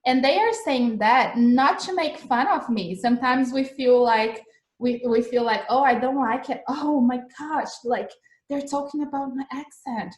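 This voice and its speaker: Woman, young, Brazilian accent, upbeat English teacher, a little high-pitched, roomy echo on a video call. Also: unhurried. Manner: chatty and encouraging, with frequent "okay?" checks. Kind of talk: livestreamed English lesson. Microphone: video call recording.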